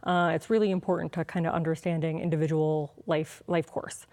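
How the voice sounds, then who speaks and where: Female expert guest, American accent, slightly lower register, casual conversational explainer in a studio interview. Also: flat delivery, brisk, a little mellow. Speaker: biological anthropologist and museum curator, interview guest. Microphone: clip-on lapel mic.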